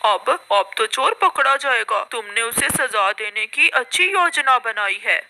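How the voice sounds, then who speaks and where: A woman's voice: on the high side, expressive, a little slow, sharp tone, a little hiss or hum, voice pushed down, full character voice. A woman voicing a bewildered father bird in a cartoon. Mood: pleased. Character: puzzled hungry father bird.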